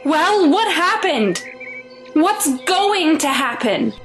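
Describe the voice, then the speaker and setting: High-voiced anxious mother played by a woman. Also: very animated, takes it slowly, faint music behind, exaggerated voice. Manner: worried, pleading. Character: anxious, protective mother of a possessed boy. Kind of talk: audio drama acting.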